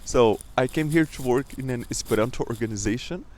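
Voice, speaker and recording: A man's voice: mid-range voice, brisk, darkish tone, low-quality recording. A young man with a Brazilian accent, talking casually in a personal vlog and stuttering with repetitions and restarts. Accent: Brazilian Portuguese. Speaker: vlogger.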